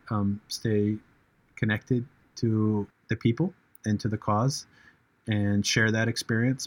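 A middle-aged American man, a charity founder, speaking earnestly and in measured phrases as a guest in a podcast interview, with frequent fillers. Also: lowish voice. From United States.

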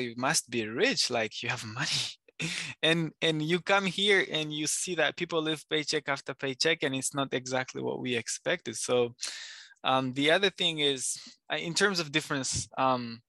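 Guest, male, bright and forward, dry close sound, reflective with frequent pauses. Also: a little high, dry recording. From Democratic Republic of Congo. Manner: thoughtful, pauses often with ums. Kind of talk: casual interview answers.

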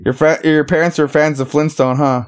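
A man hosting a podcast, talking in a relaxed, rambling, easygoing way and swearing casually. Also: on the high side, moderate pace. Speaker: podcast host. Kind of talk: casual chat.

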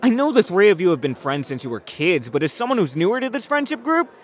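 A man's voice: high voice, sing-song, fast, soft background music. A man playing an outspoken, principled young friend with a dry manner in an audio drama. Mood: scornful.

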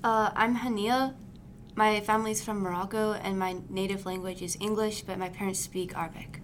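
Earnest teen girl guest, average pitch, light rumble behind. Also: flat delivery, moderate pace. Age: teens. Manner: earnest, casual. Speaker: student guest.

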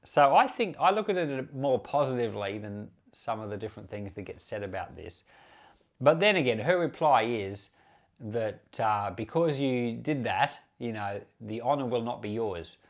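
A man teaches from the Bible in the manner of a pastor talking to listeners. His delivery is conversational and unscripted, correcting himself and stepping into small asides as he explains. His pitch sits in a medium range for a man. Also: lively intonation, normal speed. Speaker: pastor and Bible podcast host. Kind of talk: informal Bible commentary, teaching.

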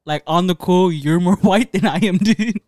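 A young man streaming casual chat, teasing his friend with jokes and loud swings in volume. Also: high-pitched, normal speed, no room sound, studio quality.

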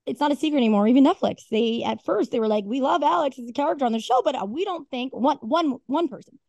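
A woman with a raspy voice and a New Jersey accent, chatting frankly as a podcast guest. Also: sits high, fast talker, dry recording. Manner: frank, animated, restarts often. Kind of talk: casual podcast chat.

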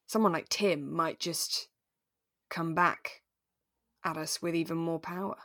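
A British woman co-hosts a relaxed podcast. Her voice sits a little low for a woman and is recorded dry, with very little room sound. She talks in an informal, conversational way. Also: unhurried, no echo. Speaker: podcast co-host. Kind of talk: relaxed casual chat about a radio drama.

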